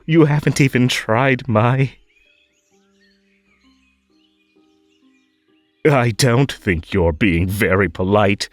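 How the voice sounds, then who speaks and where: A man playing a possessive magical tea-party host in an audio roleplay, over-polite and halting, with a menacing, unhinged edge under the sweetness. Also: lowish voice, no echo. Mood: panicked, then stern. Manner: halting, drawn-out, over-polite, swinging between coaxing and stern. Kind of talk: scripted roleplay audio drama.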